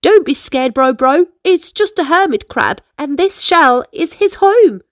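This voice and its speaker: A woman acts out an eager young boy from a children's storybook. The voice is high and bouncy, swooping up and down with curiosity and excitement. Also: measured pace, dry recording, clean studio sound. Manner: eager, exclaiming.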